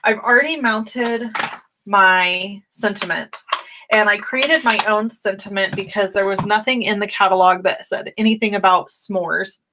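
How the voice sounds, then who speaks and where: A middle-aged woman, a little raspy from a cold, hosting a casual live stamping tutorial and greeting viewers by name. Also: mid-range voice, unhurried, noisy background.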